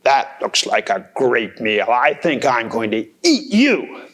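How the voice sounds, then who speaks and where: A storyteller doing a gruff, low bridge troll. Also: sing-song, slow pace, fairly bright tone, full character voice. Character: grumpy hungry troll under a bridge.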